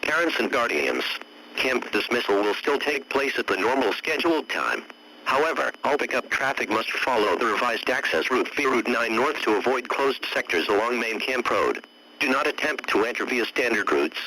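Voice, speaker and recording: A man delivering a formal scripted emergency alert in a measured, official, instructive way. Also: medium pitch, lively intonation, pushed and bright, a little hiss or hum, poor audio.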